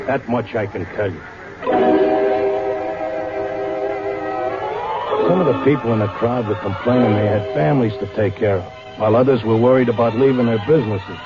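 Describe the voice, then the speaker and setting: A man's voice: medium pitch, fast talker, hardly any pauses, lightly acted. An actor playing a cynical, streetwise racetrack gambler telling his strange tale in an old radio drama, colloquial and wisecracking. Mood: dry recounting. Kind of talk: old radio drama first-person narration. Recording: dull vintage radio recording with music.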